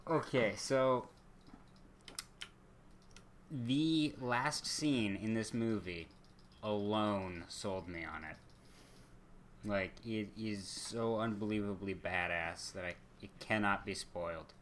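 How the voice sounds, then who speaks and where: A man's voice: fairly low pitch, takes it slowly, pauses often, a bit bright, a little hiss or hum. A young man co-hosting a casual podcast, rambling, profane and easily exasperated. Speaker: podcast co-host. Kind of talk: casual chat.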